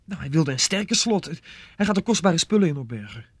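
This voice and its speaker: Evasive young boyfriend, slightly high husky voice, stalling and defensive, dry radio drama sound. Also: male voice, big pitch swings, fairly quick, dry recording. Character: evasive, reluctant young boyfriend making excuses. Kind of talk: Dutch radio play acting.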